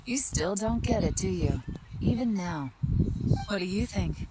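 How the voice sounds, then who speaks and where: A woman playing a shrewd young intelligence agent in a video game, composed, smug and teasing in an unhurried way. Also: medium pitch, expressive, heavy background noise, close to an everyday voice. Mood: taunting. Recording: loud music under the voice.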